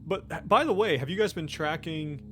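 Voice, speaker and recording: A man narrating a tabletop horror game as game master, describing things in a halting, conversational, improvised way. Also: on the high side, lively intonation. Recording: music playing under the voice. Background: background music.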